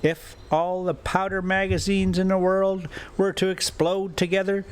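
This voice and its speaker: A man reading a classic adventure story aloud as a storyteller, in deliberate, halting phrases with an informal touch. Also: high-pitched, level tone, clean tone. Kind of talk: audiobook-style reading with casual asides.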